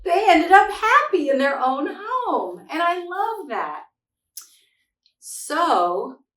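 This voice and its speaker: A middle-aged woman reading a picture book aloud and talking to young children in an expressive, simply phrased, explanatory way. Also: high voice. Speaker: children's read-aloud host. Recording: echoey room, distant microphone.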